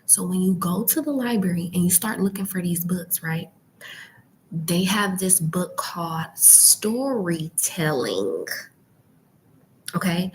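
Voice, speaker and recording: Female branding coach, low hushed semi-whisper, unhurried and emphatic, light rumble behind. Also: rough recording. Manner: emphatic, rhetorical questions and frequent check-ins. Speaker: YouTube branding and e-commerce coach. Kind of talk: informal coaching talk to camera. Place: library.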